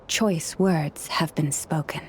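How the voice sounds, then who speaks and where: A woman voicing a gentle young woman who hears animals, speaking softly and patiently with a slightly wry touch. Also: low-pitched, steady tone, slow, slight background noise. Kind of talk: game character dialogue.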